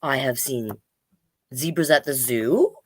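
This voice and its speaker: A woman's voice: extremely low pitch, takes it slowly. A woman hosting a children's storytime livestream, chatting casually and reading aloud in a playful, rambling way.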